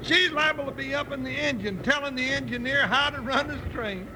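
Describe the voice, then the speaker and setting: Very high-voiced folksy cowboy sidekick. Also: male voice, fairly quick. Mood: cheerful, chatty. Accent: American. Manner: folksy, drawling cowboy talk. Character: big folksy cowboy sidekick, fond nephew. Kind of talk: 1950s Western radio serial acting. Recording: noisy old radio recording.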